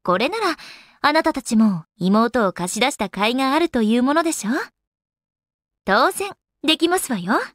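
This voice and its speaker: An actress voicing an elegant, teasing young goddess in a Japanese video game, with refined, polite and slightly haughty manners. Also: female voice, a little high, very animated, normal speed, dry recording, studio quality. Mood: teasing, pleased. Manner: polite, haughty ojou-sama refinement with playful coyness.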